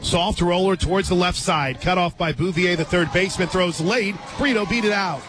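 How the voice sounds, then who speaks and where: A man with an American accent calling softball play-by-play for radio in a conversational, excitable manner. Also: sits high, normal speed, noisy background. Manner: conversational, excitable on big plays. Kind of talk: live softball commentary. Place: outdoor stadium radio booth. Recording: loud crowd and music, outdoors. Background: loud crowd and music.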